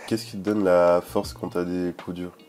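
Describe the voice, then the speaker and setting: A man's voice: low-pitched, flat delivery, moderate pace, darkish tone. A man hosting an interview, asking short questions and giving brief affirmations and a polished sign-off. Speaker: interviewer, show host.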